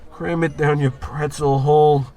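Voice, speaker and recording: A man playing a brash, dim, excitable barfly with slangy, cocky talk in a 1940s radio comedy style. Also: a little high, unhurried. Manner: slangy, cocky, easily flustered.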